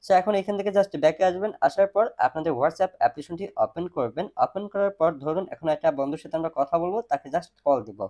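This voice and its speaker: A man's voice: fairly high pitch, fast talker, hardly any pauses, dark tone. A man hosting a phone tutorial, explaining step by step in a repetitive, instructional way. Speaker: tech tutorial host.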